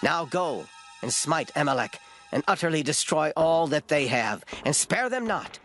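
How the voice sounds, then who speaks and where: A man's voice: a little high, big pitch swings, normal speed, fairly bright tone, soft background music. A man playing a severe Hebrew prophet in a dramatized scripture recording, solemnly declaiming a divine command.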